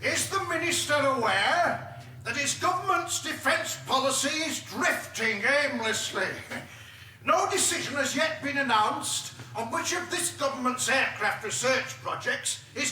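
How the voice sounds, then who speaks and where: An actress playing a combative British parliamentarian in a radio drama, declaiming indignantly. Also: female voice, high voice, bright, forceful tone, full character voice. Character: combative British female parliamentarian challenging the government. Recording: echoey chamber, distant mic, faint noise.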